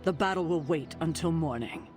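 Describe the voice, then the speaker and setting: Man acting a stern, fairly high-voiced team leader. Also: darkish tone, faint music behind, lightly acted. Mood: firm, consoling. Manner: commanding, measured. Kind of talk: video game cutscene acting.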